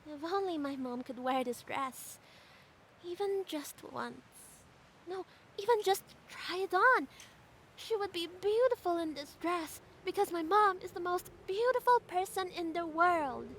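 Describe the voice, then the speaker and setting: Poor little fairy-tale girl, played by a woman: very high voice, tender and wistful, faint ambient noise. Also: measured pace, fairly bright tone, heavily acted. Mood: wistful, loving. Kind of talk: audio drama fairy tale acting.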